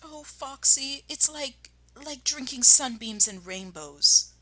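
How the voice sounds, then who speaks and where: A woman voicing an excitable, blustering storybook badger with an emphatic, effusive delivery. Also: high-pitched, slow pace, voice pushed up, heavily acted. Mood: delighted.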